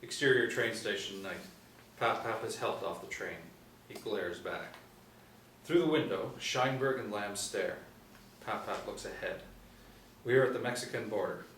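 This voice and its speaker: Low-voiced man narrating, echoey room. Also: animated, unhurried, fairly bright tone. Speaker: narrator reading stage directions. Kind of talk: scripted narration. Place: indoor room, seated reading panel. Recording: roomy, distant mic, faint noise.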